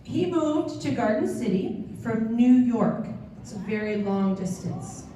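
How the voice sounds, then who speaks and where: A woman's voice: fairly high pitch, animated, unhurried, warm tone, slight background noise. A woman on staff leading a school assembly, upbeat and cheering the students on. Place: large school gym full of seated students. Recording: echoey gym from far off.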